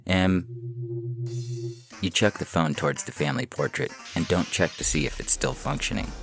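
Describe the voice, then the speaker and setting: A man's voice: low-pitched, animated, normal speed, vocal fry, a bit bright. A man narrating a scripted short story as a podcast reader, in the second person. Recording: music bed under the voice. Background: music.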